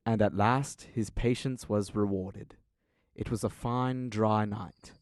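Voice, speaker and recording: A young man serves as narrator of an audio drama. His voice sits on the low side and is recorded dry and close to silent of room. He delivers literary prose with formal, dramatic storytelling. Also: steady tone, slow pace, no room sound.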